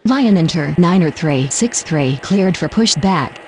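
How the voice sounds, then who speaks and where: A woman's voice: on the low side, lively intonation, unhurried, a little mellow, a little hiss or hum. A woman voicing a procedural airport radio operator in a game, using formal, clipped aviation phraseology. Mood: businesslike. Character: procedural female airport controller and pilot radio voice. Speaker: air traffic control radio voice.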